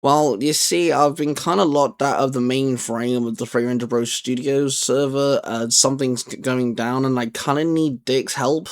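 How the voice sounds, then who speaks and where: A man's voice: mid-range voice, steady tone, normal speed, hardly any pauses, clear, resonant tone. A man hosting casually, talking in an informal, hesitant, improvised way. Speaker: YouTube channel host. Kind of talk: casual, improvised skit and chat.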